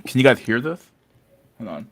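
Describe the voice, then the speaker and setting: A man's voice: medium pitch, very animated, normal speed, a bit bright. A man chatting casually as the host of a live stream. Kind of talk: casual chat. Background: background music.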